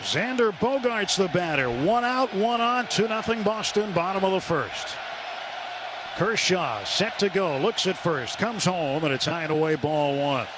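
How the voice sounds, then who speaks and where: A man doing live radio play-by-play of a baseball game, measured and descriptive, filling gaps between pitches with statistics. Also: lively intonation, crowd noise. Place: stadium broadcast booth. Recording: noisy ballpark radio broadcast. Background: loud ballpark ambience.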